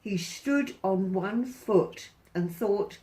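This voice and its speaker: A woman reading a picture book aloud to children as a storyteller, in a theatrical, deliberate, sing-song way. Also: mid-range voice, warm tone, rough recording. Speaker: volunteer storyteller.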